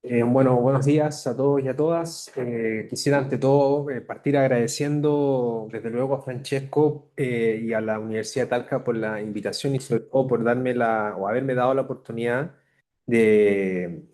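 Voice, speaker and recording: A man at a medium pitch comments on a lecture. He speaks hesitantly, stopping and restarting as he builds his points. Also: steady tone, moderate pace, dark tone. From Chile. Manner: hesitant, many fillers and restarts. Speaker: academic commentator. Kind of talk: spoken academic commentary.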